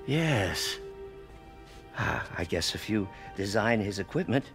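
An actor playing a kindly, perceptive older scientist and mentor in a video game, speaking in a gently knowing, reassuring way. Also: male voice, on the low side, animated, slow, over music. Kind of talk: cinematic video game acting.

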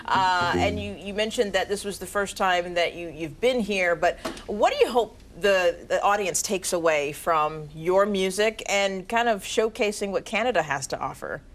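A woman's voice: medium pitch, moderate pace, hardly any pauses, slight background noise. Upbeat woman hosting a morning TV show. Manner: upbeat, laughs easily. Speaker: morning TV news host. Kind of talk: live TV interview. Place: TV news studio.

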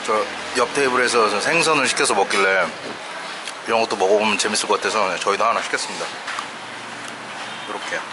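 A man's voice: fairly low pitch, fast talker, pauses often. A man casually narrating a food vlog, chatting about dishes and prices on camera at street restaurants. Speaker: travel vlogger. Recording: loud street noise, traffic.

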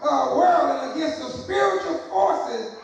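A high-pitched man preaching slowly and deliberately from a pulpit. His voice rings through a very echoey church hall and is caught from some distance. He builds to shouted emphasis and calls out to the congregation. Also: animated, a little hiss or hum. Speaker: pastor. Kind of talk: sermon. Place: church sanctuary, large, indoors. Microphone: pulpit microphone, far camera.

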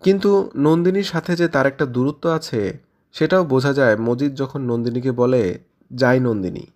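A man at a middle pitch speaking to his listeners after a story reading. He talks it over reflectively, posing questions to the audience as he goes. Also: moderate pace, a little mellow. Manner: reflective, addresses listeners directly. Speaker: audio story channel host. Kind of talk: literary commentary.

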